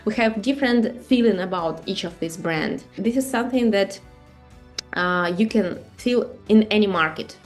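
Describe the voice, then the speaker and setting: A woman's voice: medium pitch, moderate pace, soft background music. A woman from Russia with a Russian accent, giving an online marketing master class in a conversational way, with many fillers and rhetorical questions. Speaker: marketing and branding instructor.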